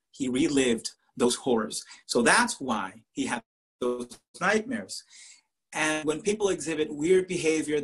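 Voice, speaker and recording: A Spanish-speaking man from Puerto Rico with a Puerto Rican accent, telling a personal story to an audience with earnest, dramatic pauses. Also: medium pitch, a bit bright, breathy edge, poor audio. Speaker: professor and poet.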